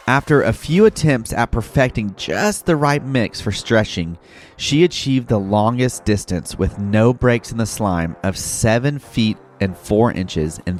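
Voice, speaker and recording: A man hosting an educational podcast for children, speaking directly and encouragingly to young listeners as he presents facts and games. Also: lowish voice. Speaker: host of a kids' educational podcast. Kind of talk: scripted narration and game hosting for children. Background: background music.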